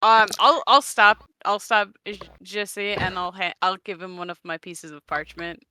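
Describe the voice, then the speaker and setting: A woman playing a tabletop game, speaking softly and hesitantly. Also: medium pitch, steady tone, normal speed, smooth, no room sound, rough recording. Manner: soft-spoken, hesitant. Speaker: player.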